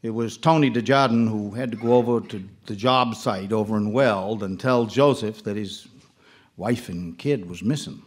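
A man's voice: on the low side, normal speed, close to an everyday voice. A man playing a plainspoken small-town local, narrating a live audio drama in a folksy, colloquial way. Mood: reflective, grim.

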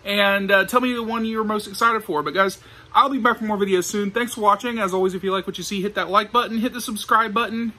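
A man's voice: high-pitched, fast talker, sharp tone. A young man hosting a casual vlog, talking to the camera like an enthusiastic fan. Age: young adult. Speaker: video host. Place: small indoor room with shelves of discs.

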